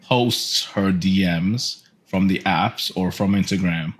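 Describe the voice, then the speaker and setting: A man's voice: low-pitched, slow pace, a little hiss or hum. A man in his thirties co-hosting a podcast, chatting casually and opinionatedly among friends. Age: 30s. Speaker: podcast co-host.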